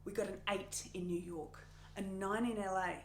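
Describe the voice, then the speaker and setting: A woman's voice: medium pitch, fairly even intonation, moderate pace, a little hiss or hum, lightly acted. A woman performing a modern drama monologue as an ambitious television executive, eager, insistent and urgent. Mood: eager, scheming. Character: ambitious, excitable female television executive pitching hard. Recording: roomy, echoing untreated room.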